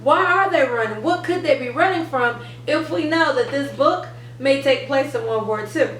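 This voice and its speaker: A woman's voice: high voice, animated, normal speed, a bit bright. A young woman presenting a how-to lesson as a reading teacher, explaining step by step and stressing key words. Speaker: reading teacher and channel host. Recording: roomy, distant mic, faint noise.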